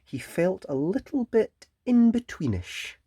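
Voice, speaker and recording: A children's story reader and host, narrating a picture book in a gentle, deliberate and expressive way. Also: medium pitch, husky, no room sound, clean studio sound.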